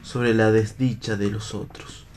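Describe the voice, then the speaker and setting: Actor as philosophical vagabond, tender yet bitter. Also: male voice, on the low side, little pitch movement, unhurried, darkish tone, a little hiss or hum, lightly acted. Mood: tender, melancholy. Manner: declamatory, literary phrasing. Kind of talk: staged reading of a stage play for radio.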